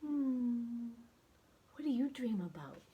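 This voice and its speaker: A woman reading a story aloud to young children, expressive, with dramatic emphasis and questions to the listener. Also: fairly high pitch, slow, highs cut off, a little hiss or hum.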